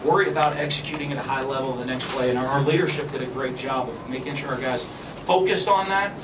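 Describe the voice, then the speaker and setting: A man in his forties, a football coach answering postgame questions at a press conference, speaking in a measured way with many fillers and restarts. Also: mid-range voice. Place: press room with backdrop. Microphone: podium mic, filmed from a distance. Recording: echoey room, far from the camera, background noise.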